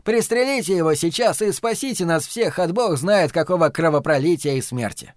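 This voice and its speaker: A man voicing an older academic in an audiobook, calm and wry, speaking with courteous, articulate persuasion. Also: a little high, normal speed, no echo, studio quality, close to an everyday voice. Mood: earnest, urging.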